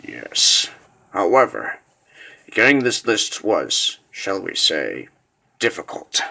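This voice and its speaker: A man playing a sinister, calculating military spymaster in a fantasy comic dub, speaking in a measured, insinuating hush. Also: very animated, vocal fry, heavily acted. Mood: sly, insinuating. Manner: measured, insinuating, low and hushed.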